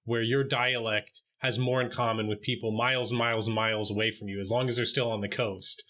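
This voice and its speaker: A man chatting as a podcast guest, telling a story in a relaxed, chatty way with fillers and asides. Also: fairly low pitch, fairly quick. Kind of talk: casual conversational interview.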